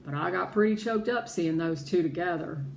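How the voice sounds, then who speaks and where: A woman's voice: fairly low pitch, normal speed, vocal fry. A woman voicing a tough, blunt street woman from a children's novel, speaking emphatically. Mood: blunt, insistent. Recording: roomy echo with background noise.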